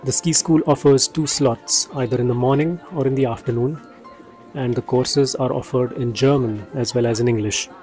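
A man narrates a ski trip guide in a steady, informative voice-over. His pitch sits in the middle range. Light music plays beneath him. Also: moderate pace, low-quality recording. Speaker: travel vlogger narrating.

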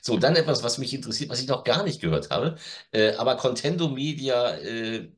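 A man's voice: mid-range voice, expressive, fairly quick. A middle-aged man co-hosting an audio drama news show in casual chat, with a wry, joking manner. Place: room at home.

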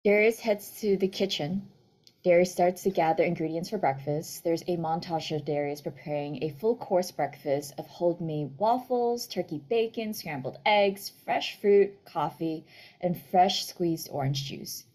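A woman's voice: fairly low pitch, measured pace, a little mellow. A young woman reading screenplay stage directions as narrator over a video call. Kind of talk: scripted narration, screenplay table read. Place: indoor room on a video call. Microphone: video call microphone.

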